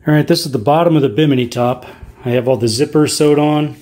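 A man's voice: fairly high pitch, fast talker, darkish tone. A middle-aged man talking casually as he cuts and sews canvas at home, explaining each step and speaking frankly about his troubles. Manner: plainspoken, frank, talks while doing hands-on work. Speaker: sailing channel host. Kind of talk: casual how-to narration while working. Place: indoors in a home, kitchen and living room.

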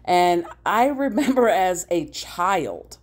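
Casting: woman, deep register, slow-paced, approving, informal reactor. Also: expressive. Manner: conversational, approving. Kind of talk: casual reaction commentary.

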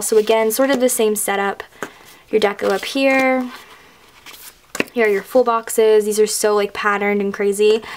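A young woman chats eagerly through a tabletop haul, piling on fillers and gushing over every item. Her voice is a little worn from a recent illness, set at a middle pitch. A faint noise sits under the recording. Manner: gushing, fast filler-heavy enthusiasm. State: recovering from illness, losing her voice. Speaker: planner sticker haul vlogger. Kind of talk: casual haul show-and-tell. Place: indoors at a table, hands-only overhead camera.

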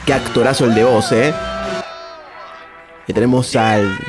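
A man's voice: medium pitch, steady tone, unhurried, crowd noise. A man with an Argentine accent giving casual live reaction commentary, exclaiming in slang and repeating his phrases. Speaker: streamer reacting to video. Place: indoor room at streaming desk. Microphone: close desk microphone on boom arm. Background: cartoon music.

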